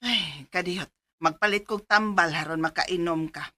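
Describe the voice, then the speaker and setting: A woman's voice: lowish voice, moderate pace, bright, forceful tone, no room sound. An actress playing a middle-aged, fussy but caring mother in a Filipino radio drama, nagging expressively with a Cebuano accent. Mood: scolding, worried. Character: fussy, caring, scolding mother of grown son.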